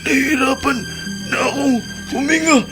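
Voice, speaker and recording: A man's voice: extremely high pitch, flat delivery, moderate pace. A breathless dying young man. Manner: faltering, weak, stammering. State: gasping for breath. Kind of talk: radio drama acting. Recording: radio drama with music behind.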